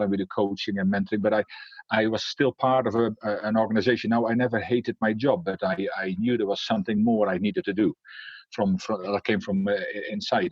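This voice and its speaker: A man's voice: lowish voice, slightly rough, no room sound, muffled recording. A middle-aged man with a Dutch accent tinged by Scotland, a leadership coach talking in an interview, hesitant with many fillers and restarts. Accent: Dutch, with Scottish influence. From Netherlands. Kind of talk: casual interview talk.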